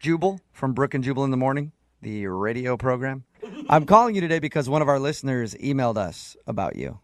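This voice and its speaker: A man's voice: mid-range voice, very animated, fairly quick, no echo. A man hosting a radio show in casual, joking morning banter, with a teasing, conversational way of talking. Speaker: radio host. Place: radio studio.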